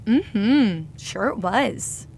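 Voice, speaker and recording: A woman's voice: mid-range voice, expressive, extremely slow, vocal fry, darkish tone, a little hiss or hum, heavily acted. A woman playing a pushy, needling young ex-lover with a defensive edge, acted naturally for an audio drama. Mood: needling, wheedling.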